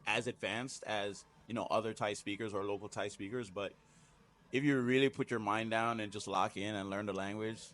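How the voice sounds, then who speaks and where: A man's voice: fairly low pitch, steady tone, normal speed, a bit bright. A man speaking as a guest in a casual chat, easygoing but emphatic about his views. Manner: easygoing, emphatic, frequent "you know". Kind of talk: casual conversation.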